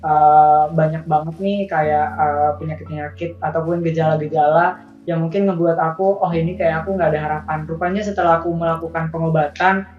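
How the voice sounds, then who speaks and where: A woman's voice: deep voice, little pitch movement, fairly quick, soft background music. A woman sharing a personal story as an interview guest, speaking in an earnest, informal and encouraging way. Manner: earnest, informal, addressing viewers as friends. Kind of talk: casual, encouraging testimony. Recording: distant, echoey, slightly dull sound.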